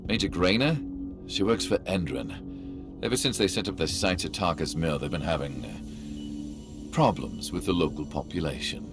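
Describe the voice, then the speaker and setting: Sly, deep-voiced arms dealer. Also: male voice, animated, moderate pace. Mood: guarded. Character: sly, cornered male arms dealer, smug and menacing. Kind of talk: video game cutscene acting. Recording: music behind the voice.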